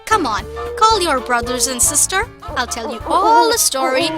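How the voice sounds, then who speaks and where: A woman voicing a patient cartoon mother who tells children fables in an expressive, instructive way. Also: sits high, moderate pace, over music. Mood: patient, explaining. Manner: expressive, didactic. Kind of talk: children's storytelling.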